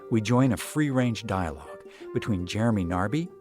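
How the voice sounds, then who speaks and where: A fairly low-voiced man reads a scripted opening in an even, polished delivery. Soft music plays behind him. This is a podcast intro voice-over. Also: normal speed. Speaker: show narrator.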